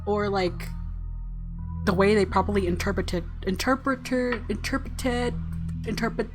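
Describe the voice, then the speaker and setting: Woman playing a visual novel, mid pitch, reads the story aloud n chats casually, game music pretty loud. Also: a little slow. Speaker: gaming channel host reading a visual novel aloud. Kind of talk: expressive story narration with casual commentary.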